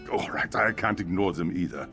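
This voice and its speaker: A man playing a nervous, chatty innkeeper in a fantasy game, with a flustered, hesitant way of talking. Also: low voice. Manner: stammering, nervous hesitations and sighs.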